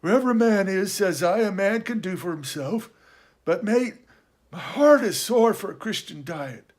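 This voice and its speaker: Storybook castaway: high, loud, hoarse, half-mad, pious yet sly, excitable seafaring talk. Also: male voice, moderate pace. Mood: wistful, eager. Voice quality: hoarse, awkward. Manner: rustic seafaring dialect, excitable, rambling. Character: marooned old castaway sailor, half-crazed, childish, sly, pious.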